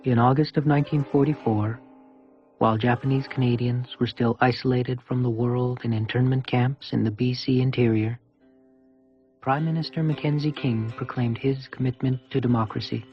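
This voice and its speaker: A man narrating a historical documentary from a script in a formal, measured way. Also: mid-range voice, steady tone, muffled recording, faint music behind.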